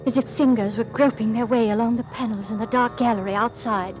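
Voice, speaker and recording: A woman plays a young governess in an old radio play, earnest and reserved. Her voice is fairly high and quietly composed, with music behind it on a slightly muffled recording. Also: steady tone, a little fast, natural voice. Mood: hushed, reflective. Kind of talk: dramatic first-person radio narration.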